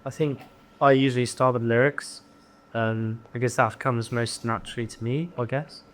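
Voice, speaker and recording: A man's voice: mid-range voice, steady tone, normal speed, fairly bright tone. Young man from Devon, chatting casually. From Devon, England. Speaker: band singer and guitarist. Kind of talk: casual interview chat. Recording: ambient room noise behind.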